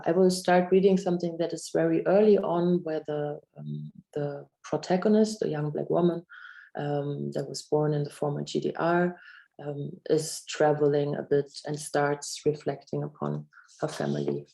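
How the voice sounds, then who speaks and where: A low-pitched woman with a German accent, her tone mellow and soft-edged, who starts out a bit halting before settling into a steady reading of her own prose. She is heard through a video call. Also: vocal fry, low-quality recording. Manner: hesitant, restarts when speaking freely. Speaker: author giving a reading. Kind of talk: reading aloud from a novel and chatting.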